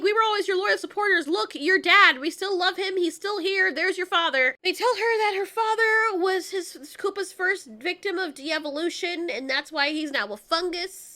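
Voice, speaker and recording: Female film-recap host: high, bright delivery, casual and sarcastic, acts things out mockingly. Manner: animated, acts out characters' lines in mocking paraphrase.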